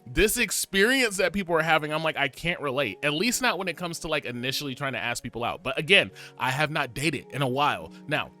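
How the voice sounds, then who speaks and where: A young man in his twenties talks straight to his viewers in a loose, rambling way, stacking up fillers and breaking into laughter. His voice is bright and forward and sits a little high. Faint music plays under him. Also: expressive, fairly quick, hardly any pauses. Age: 20s. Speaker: vlogger. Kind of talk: casual talk to camera.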